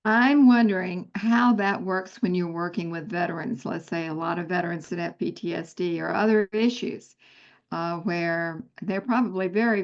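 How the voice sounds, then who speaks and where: A woman's voice: lowish voice, gravelly. A woman hosting an interview, asking supportive, rambling questions with fillers. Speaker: interview host. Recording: dull lo-fi sound.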